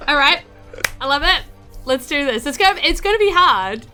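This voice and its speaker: A woman with a New Zealand accent, narrating a tabletop role-playing game as game master in a casual, animated, chatty way. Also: high voice, moderate pace, faint music behind.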